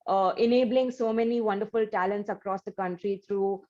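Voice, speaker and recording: A woman's voice: mid-range voice, steady tone, moderate pace, darkish tone. A woman from India hosting an interview, asking long questions with many "you know" fillers. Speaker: interview host. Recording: slightly dull, lo-fi audio.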